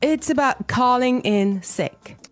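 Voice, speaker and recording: A woman co-hosting an English lesson podcast, cheerful and clearly explaining each phrase. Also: fairly high pitch, lively intonation, takes it slowly, soft background music. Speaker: podcast co-host, English teacher.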